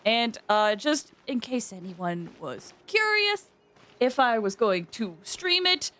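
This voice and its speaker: A woman's voice: on the high side, sing-song, slow, a bit bright, a little hiss or hum. A woman hosting a game livestream, chatting casually and informally with viewers, often drifting into asides.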